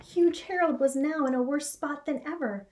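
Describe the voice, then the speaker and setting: A middle-aged woman reading a children's story aloud in an expressive, measured storytelling manner. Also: high-pitched, background noise. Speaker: storybook reader. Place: small indoor classroom or office.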